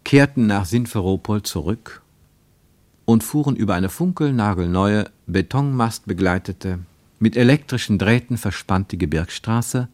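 A man with pronunciation reading literary prose for radio, measured and deliberate, with emphatic phrasing and pauses. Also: fairly low pitch, darkish tone, no echo. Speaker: narrator, reader of literary prose. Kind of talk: scripted literary narration for German radio.

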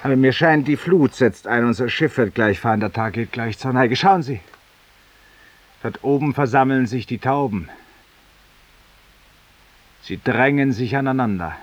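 An actor playing a cynical, self-accusing former lawyer in a 1950s German radio drama monologue, theatrical and ironic, husky, confiding in a listener. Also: male voice, mid-range voice, a little slow, long pauses, natural voice. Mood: ironic, musing. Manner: theatrical, ironic, confiding, dropping to near whispers. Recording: muffled, roomy 1950s radio recording.

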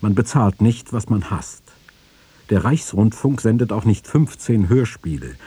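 A man's voice: on the low side, expressive, unhurried, warm tone, slightly rough, dry recording. A man reading press quotations aloud in a scripted radio feature. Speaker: reader of quotations.

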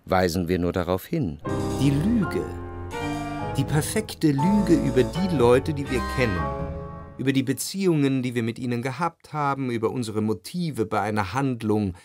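An actor playing a reflective, well-off man of letters, narrating a literary radio play in a measured, analytical way. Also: medium pitch, expressive, music in the background, close to an everyday voice. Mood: contemplative. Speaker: first-person narrator.

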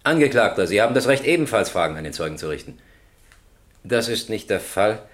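An actor playing a stern, formal presiding judge who questions with authority in a German radio crime drama. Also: male voice, on the low side, sing-song, brisk. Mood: formal, matter-of-fact. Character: stern, probing male presiding judge in a courtroom.

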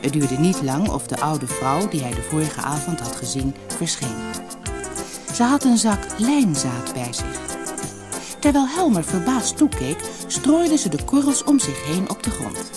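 Low-voiced woman reading fairy tales expressively. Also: big pitch swings, over loud music. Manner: dramatic, measured storybook delivery. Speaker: storyteller reading a fairy tale audiobook. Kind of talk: expressive scripted fairy tale narration.

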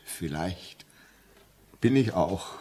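A haunted railway signalman in a vintage radio play. His low voice is rough and husky, halting and agitated, moving from hushed dread to anguished cries. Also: expressive, full character voice. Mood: bitter despair. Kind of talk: 1950s German radio drama acting. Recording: muffled-edged vintage 1950s radio recording.

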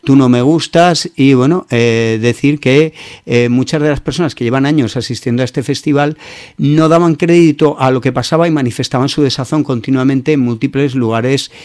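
This is a man with a Peninsular Spanish accent and a medium-pitched voice. He presents news commentary as a radio host, informally and with clear opinions. His phrasing halts and regroups as he goes, and the sound is dry, with little room around it. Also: normal speed, darkish tone, no room sound.

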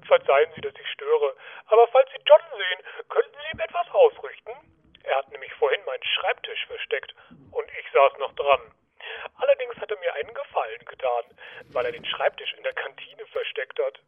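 A man's voice: fairly high pitch, very animated, a little fast, slightly rough. A man playing a meek, fussy male office worker, apologetic and over-polite, in a comedy audio drama on a tinny phone line.

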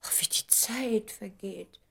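A woman's voice: mid-range voice, takes it slowly, vocal fry, a bit bright, husky, exaggerated voice. A woman playing a vain, jilted stage actress in a German radio play, speaking with affected, dramatic elegance and indignation. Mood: self-important. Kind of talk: German radio play acting, comedy of manners.

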